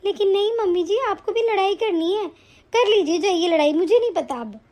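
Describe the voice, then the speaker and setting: A woman's voice: very high-pitched, lively intonation, fairly quick, darkish tone. A woman playing an exasperated elder daughter-in-law who tries to reason and keep peace in a family melodrama. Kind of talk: domestic family melodrama acting.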